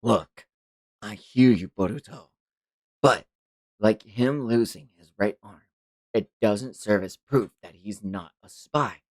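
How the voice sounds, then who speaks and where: The tired senior strategist in a ninja cartoon, low and doubtful, reining in hotheaded kids, voiced by a man reading aloud. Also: takes it slowly, pauses often, dry recording. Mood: weary, skeptical. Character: weary, skeptical older male ninja advisor. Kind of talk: manga read-aloud.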